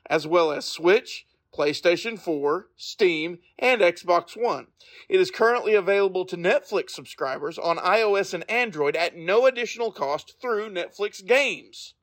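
A man with a high voice hosts a podcast, reading a prepared review. The sound is dry, with very little room around it. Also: lively intonation, a little slow, fairly bright tone, no echo.

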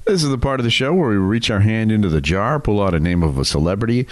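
A man's voice: fairly low pitch, expressive, fast talker, no echo. A middle-aged man co-hosting a podcast, chatting casually and telling stories. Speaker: podcast co-host. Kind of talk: casual chat and storytelling.

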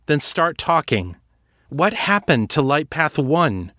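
A man voicing a sharp, skeptical, impatient woman detective in an audiobook, speaking in a pointed, emphatic way. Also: a little high, no room sound, clean studio sound, voice pushed up.

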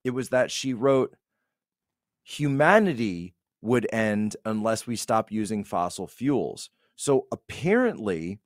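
A man's voice: medium pitch, no room sound, clean studio sound. A man giving casual political commentary as a podcast guest, emphatic and repeating phrases to make his point.

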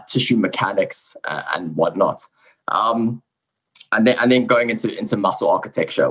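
A man with a South African accent, a guest researcher giving a relaxed, explanatory account of his background on a podcast. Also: deep voice. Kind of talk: casual podcast interview.

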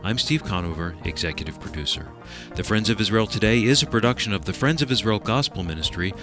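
Man, radio announcer, animated lowish delivery, deliberate read of contact info over faint music. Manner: clear, deliberate reading of contact details. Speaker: radio program announcer and executive producer. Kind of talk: scripted radio program outro. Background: faint theme music.

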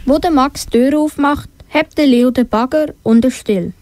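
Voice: high voice, moderate pace, darkish tone, a little hiss or hum. A young female narrator reading a scripted children's audio story.

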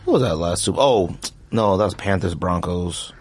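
A man's voice: sits low, lively intonation, moderate pace, slightly rough. A man co-hosting a podcast, talking football in a laid-back, rambling way. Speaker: podcast co-host. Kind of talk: casual sports debate.

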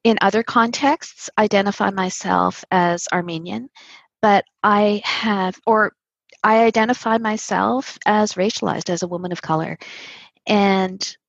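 A woman guest, a social justice lawyer, giving thoughtful, measured answers in an interview and sometimes pausing to rephrase. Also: mid-range voice, breathy edge, no echo, dull audio. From Canada.

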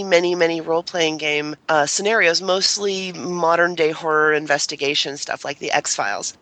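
A middle-aged woman with a bright, forceful voice in a dry recording. She answers as an interview guest, playful and emphatic, repeating words for weight. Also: lowish voice, no echo, poor audio. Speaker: audio drama writer, guest. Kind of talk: interview talk.

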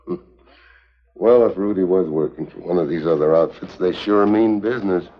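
A tough American secret agent from a 1950s spy series. His voice sits low, and he talks in a wry, hard-boiled, unruffled way. The old soundtrack is dull and narrow. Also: normal speed. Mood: probing. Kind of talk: 1950s television spy drama acting.

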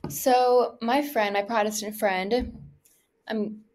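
A woman's voice: fairly high pitch, steady tone, takes it slowly, darkish tone, a little hiss or hum. A woman, co-hosting and asking questions in an informal, chatty way. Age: late teens. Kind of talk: casual chat.

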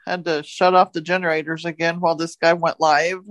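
A woman's voice: on the low side, little pitch movement, brisk, dry recording. A woman co-hosting a podcast, chatting casually and retelling odd news stories in a folksy, joking way. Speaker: podcast co-host.

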